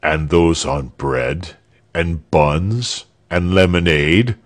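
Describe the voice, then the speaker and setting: A man's voice: low voice, extremely slow. A man voicing a haughty, coldly menacing governor with a curt, sardonic manner in a storybook reading. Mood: smug.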